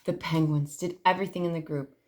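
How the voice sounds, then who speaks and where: A woman's voice: low voice, steady tone, brisk, a little mellow. A woman reading a picture book aloud to children expressively, stretching key words for emphasis. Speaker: library storytime reader.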